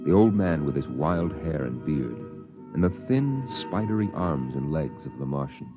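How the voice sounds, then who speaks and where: A man giving calm, measured first-person narration in a 1950s radio drama. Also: extremely low pitch. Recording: dull old radio recording with music.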